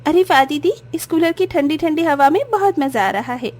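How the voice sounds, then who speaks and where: A woman's voice: high-pitched, expressive, moderate pace, darkish tone. A woman voicing an eager, kind young orphan sister in a cartoon moral story, exclamatory and earnest. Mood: cheerful.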